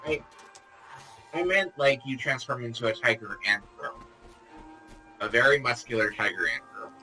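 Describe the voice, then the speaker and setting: A man's voice: mid-range voice, moderate pace, music in the background, rough recording. A man chatting casually in a back-and-forth conversation. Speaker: conversation partner. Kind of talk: casual chat.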